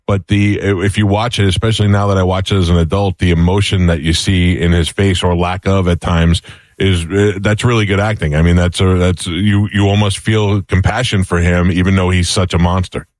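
A man's voice: deep voice, flat delivery, moderate pace, dry recording. A middle-aged man hosting a morning radio show, rambling and self-deprecating as he interviews a guest, often tripping over his words.

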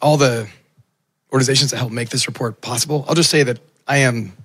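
A man who is a Baptist minister, speaking as a panelist with a raspy voice from illness, earnest and thoughtful. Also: mid-range voice, steady tone, fast, a bit bright, no echo, clean studio sound. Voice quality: gravelly. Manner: earnest, thoughtful, with small laughs. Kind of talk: panel discussion remarks.

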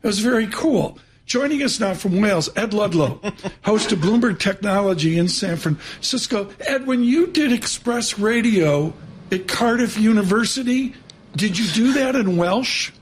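A man's voice: high-pitched, animated, unhurried, slight background noise. A man hosting a morning business show, chatting loosely and telling a story with asides. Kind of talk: casual chat.

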